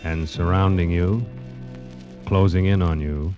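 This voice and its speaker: A man's voice: sits low, fairly even intonation, a little slow. A man announcing a radio drama in a dramatic, portentous 1940s scripted style. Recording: dull vintage radio recording with music. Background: dramatic music.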